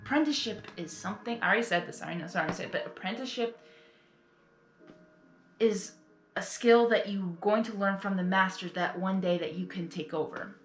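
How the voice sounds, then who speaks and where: A woman's voice: mid-range voice, brisk. Roomy-sounding woman reacting casually. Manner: rambling, exclamatory, repeats phrases. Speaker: reaction video host. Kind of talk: casual emotional reaction commentary. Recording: roomy sound with faint music. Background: faint music.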